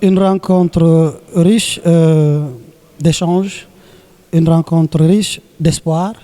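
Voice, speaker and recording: Senegalese accent man, high voice but mellow, local politician talking slow and deliberate, repeats himself for effect. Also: steady tone. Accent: Senegalese French. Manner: deliberate, hesitant, repeats phrases for emphasis. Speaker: local elected official, mayor. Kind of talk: interview statement, oratorical.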